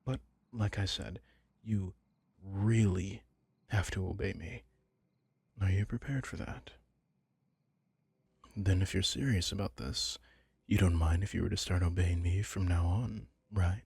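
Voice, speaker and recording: Guy doing a soft yandere mafia heir for asmr roleplay, deep breathy voice, halting and gentle, super close dry mic. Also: fairly even intonation, no echo, full character voice. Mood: earnest, gently commanding. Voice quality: husky, breathy, gravelly. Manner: halting, gentle, apologetic, slipping into whispers. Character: soft-hearted yandere young mafia heir, hesitant and possessive. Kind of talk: intimate ASMR roleplay audio drama. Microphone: close, dry microphone.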